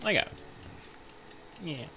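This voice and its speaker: A man's voice: takes it slowly, background noise. A young adult man chatting casually on a game livestream, rambling informally and swearing freely. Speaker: live streamer. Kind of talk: casual livestream chat while playing a game.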